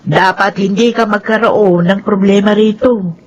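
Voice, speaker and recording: A woman's voice: mid-range voice, unhurried, lo-fi sound, natural voice. An actress playing a fond, fussy, motherly older prison worker in a Filipino radio drama. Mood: worried, scolding. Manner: fussy, animated scolding.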